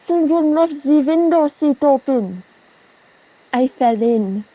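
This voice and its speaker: Teenage girl as high, slow, nervous henchman doctor. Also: expressive, warm tone, poor audio. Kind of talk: amateur radio play acting.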